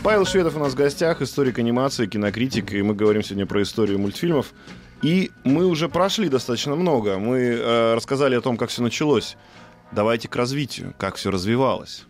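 A man's voice: mid-range voice, expressive, fast, soft background music. A man hosting a live radio talk show in a conversational way. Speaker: radio host.

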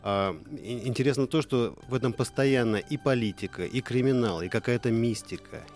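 A man co-hosting a radio show, explaining things in orderly, deliberate phrases. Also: mid-range voice, darkish tone. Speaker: radio co-host and language teacher. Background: music behind the voice.

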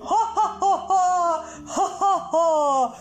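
A woman's voice: very high-pitched, drawn-out words, reverberant, voice pushed up, full character voice. A woman voicing a male trombone in a storybook, a mocking, emphatic and loyal friend. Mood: loud laughter.

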